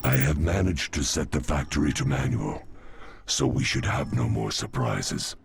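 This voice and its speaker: A man playing a grave, cautious alien adviser in a video game, gravelly, speaking in a measured, formal, precise way. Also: a bass voice, over music. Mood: focused. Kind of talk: cinematic video game acting.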